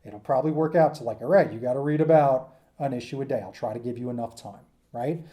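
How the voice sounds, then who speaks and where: A man's voice: mid-range voice, fast, mellow. A man giving an informal lecture to students in an enthusiastic, emphatic, rambling conversational way, as their teacher.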